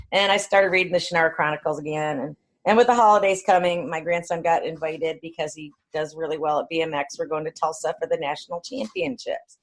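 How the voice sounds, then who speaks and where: A middle-aged or older woman, chatting casually as a podcast co-host. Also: deep voice. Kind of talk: casual chat.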